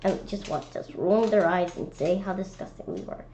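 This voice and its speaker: A woman reading a children's story aloud in an expressive, animated way, occasionally stumbling over words. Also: lowish voice, normal speed. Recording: dull lo-fi sound with clear background noise.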